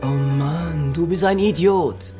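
A man's voice: a little high, slow. An actor playing a brash male photographer in a radio play, talking in a colloquial, mocking way to a friend. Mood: exasperated. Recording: music behind the voice.

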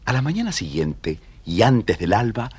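Older-style kids' record narrator guy, lowish husky voice, measured and dramatic storytelling. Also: sing-song, darkish tone, dry recording. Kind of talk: scripted children's storytelling narration.

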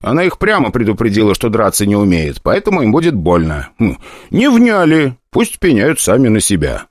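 A man narrating a fantasy audiobook in an expressive, ironic storytelling manner. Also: on the low side, normal speed, no echo, clean studio sound, voice pushed up.

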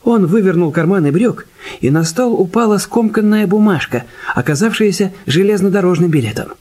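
A man's voice: sits high, animated, brisk, a little mellow. A man reading scripted literary narration in a detective audio drama. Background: music.

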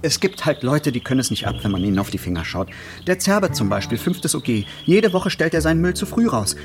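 Touchy know-it-all busybody man, kinda high voice, insists a lot, radio play, music behind. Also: big pitch swings. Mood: defensive. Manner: insistent, halting when cornered. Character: defensive, pedantic, self-important busybody man. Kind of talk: radio drama dialogue.

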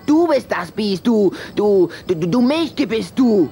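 A woman's voice: medium pitch, very animated, unhurried, vocal fry, dark tone, a little hiss or hum. A woman playing a brusque, hot-tempered young vampire boy in a children's audio drama. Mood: outraged.

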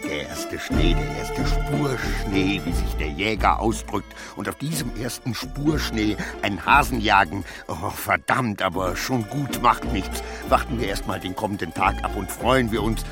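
An actor playing an anxious, self-pitying clerk who mutters agitatedly to himself in a radio play, swinging between dread and defiance. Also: male voice, on the low side, expressive, measured pace, hardly any pauses, music in the background. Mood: nervously self-reassuring. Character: anxious, self-pitying male clerk, brooding over his double.

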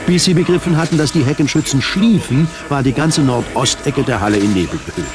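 A man's voice: medium pitch, fairly quick. A man reading scripted dramatic narration for a science fiction radio drama. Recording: music under the voice. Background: music.

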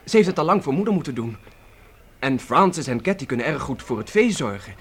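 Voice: mid-range voice, big pitch swings, brisk, a little hiss or hum, natural voice. An actor playing an orphaned boy, the eldest child, earnest and emotional, in a Dutch radio play. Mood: earnest, halting.

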